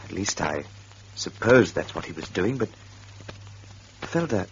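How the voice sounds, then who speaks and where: A man's voice: deep voice, expressive, unhurried, creaky, a little hiss or hum, full character voice. An actor playing an embittered, defensive man accused of murder, insistent and sardonic, in a radio drama. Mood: earnest, pleading. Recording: dull lo-fi radio recording.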